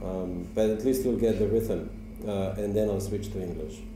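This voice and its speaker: Hesitant poet in an echoey hall. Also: male voice, fairly low pitch, normal speed, distant mic, background noise. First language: Slovenian. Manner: hesitant, many ums and uhs. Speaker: poet giving a reading. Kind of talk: live poetry reading with spoken introductions. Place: university lecture hall.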